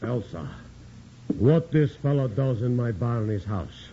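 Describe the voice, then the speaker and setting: A man's voice: medium pitch, animated, measured pace, dark tone. An actor playing a hot-tempered older immigrant miner and father who speaks broken English, in 1940s radio acting. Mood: angry. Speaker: union leader. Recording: dull old radio recording with hiss.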